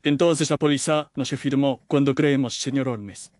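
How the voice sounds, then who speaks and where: An anxious young insurance clerk, played by a man in a period audio mystery. The voice sits at middle pitch in a very dry recording, humble and deferential with a defensive edge. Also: fairly even intonation, moderate pace, darkish tone, no echo, lightly acted. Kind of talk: Spanish audio drama mystery acting.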